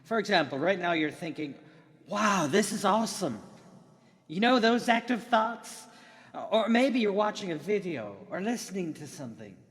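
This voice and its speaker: An excited, showy tech presenter from the future , acted out by a man, delivers a radio drama keynote in long hall reverb. His voice sits high and swings widely in pitch, with theatrical emphasis and dramatic pauses. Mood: explaining, enthusiastic.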